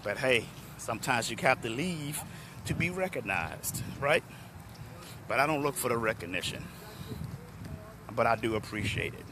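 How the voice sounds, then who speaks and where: A middle-aged man from Washington, D.C., a motivational speaker, talking hoarsely and chattily to viewers on a phone held at arm's length outdoors. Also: medium pitch, moderate pace, long pauses, background noise. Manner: relaxed, chatty, addresses viewers by name. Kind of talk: live-streamed talk to viewers. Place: outdoors in a parking lot under trees.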